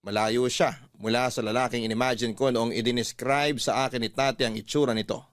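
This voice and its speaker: A man's voice: mid-range voice, steady tone, brisk, clean studio sound. Male storyteller with echoing voice. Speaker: radio drama narrator. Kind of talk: scripted first-person story narration.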